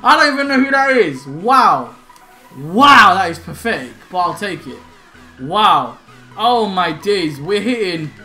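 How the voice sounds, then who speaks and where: A man's voice: high-pitched, sing-song, measured pace. A young man giving hyped, slangy commentary while opening game packs at home. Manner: hyped, shouting and laughing, slangy banter. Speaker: gaming YouTuber. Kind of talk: excited pack-opening commentary. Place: small home room, indoors. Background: faint background music.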